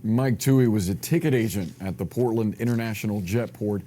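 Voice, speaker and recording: A man's voice: fairly low pitch, a little fast, mellow, slight background noise. A man with an American accent presenting live television news as an anchor.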